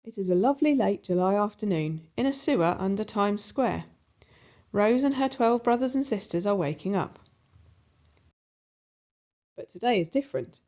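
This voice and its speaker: A woman narrating a children's storybook aloud in an expressive style. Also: mid-range voice, normal speed, pauses often, muffled recording.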